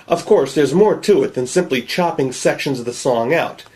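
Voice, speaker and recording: A man's voice: a little high, animated, normal speed, a little mellow, breathy edge. Nasal male narrator, wry and self-deprecating, for a home-made tutorial voice-over. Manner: wry, joking. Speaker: video creator.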